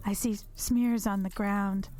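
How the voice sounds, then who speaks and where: A woman's voice: medium pitch, steady tone, slow pace, lightly acted. A woman playing a guarded, weary, haunted woman in an audio drama, hesitant yet firm in her delivery. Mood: haunted, uneasy. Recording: clear background noise and ambience.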